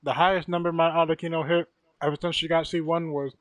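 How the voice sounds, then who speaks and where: Higher-voiced young man, dry close sound. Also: flat delivery, quick pace, dry recording. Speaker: gamer chatting with streamer. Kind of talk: casual gaming voice chat.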